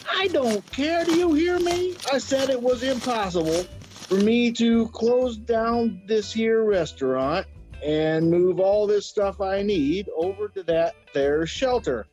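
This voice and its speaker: A man's voice: sits high, takes it slowly, a little mellow, faint music behind. A man playing a blustering, plain-spoken American restaurant owner with a folksy, bossy manner in an audio drama. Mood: grumpy, exasperated. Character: gruff, folksy male steakhouse owner, bossy but big-hearted.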